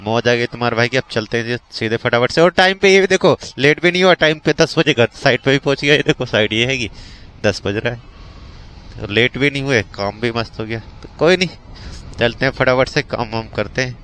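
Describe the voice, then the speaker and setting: A man's voice: medium pitch, fairly quick. North Indian man vlogging over traffic noise. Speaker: vlogger and bike taxi rider. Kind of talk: casual chatty daily vlog. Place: outdoors on city roads and worksite. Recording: steady outdoor traffic and vehicle noise.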